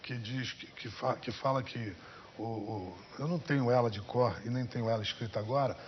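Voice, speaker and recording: A man, a songwriter and poet, talking in an unhurried, musing way as an interview guest. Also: medium pitch, lo-fi sound, a little hiss or hum. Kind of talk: relaxed interview talk. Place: television studio.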